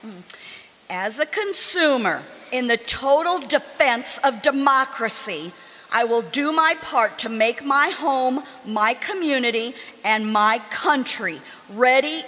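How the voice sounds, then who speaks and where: A woman playing a fond, sensible, gently scolding long-married wife in old-time radio comedy. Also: a little high, full character voice. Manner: affectionate, chiding. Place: live stage show in a community hall. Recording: reverberant hall stage performance.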